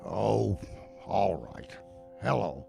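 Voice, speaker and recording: An actor playing a grumpy, flustered older homeless man in an audio drama, muttering and stammering in a gravelly voice. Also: lowish voice, animated, drawn-out words, darkish tone, soft background music, exaggerated voice. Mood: gruff, curious. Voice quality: gravelly, rough.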